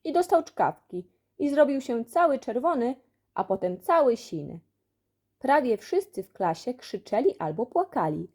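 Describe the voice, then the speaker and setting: A woman narrating a children's audiobook expressively, telling the story in an animated way. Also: a little high, normal speed. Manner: animated storytelling, acts out dialogue. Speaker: audiobook reader.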